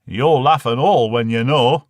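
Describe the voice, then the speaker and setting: A man's voice: mid-range voice, measured pace, no room sound, studio quality, natural voice. Man acting a blunt, droll Northern English father.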